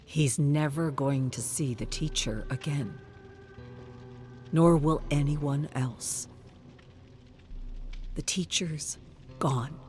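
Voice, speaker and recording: A narrator reading a suspenseful scripted story in a measured, dramatic audiobook style. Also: medium pitch, darkish tone, soft background music.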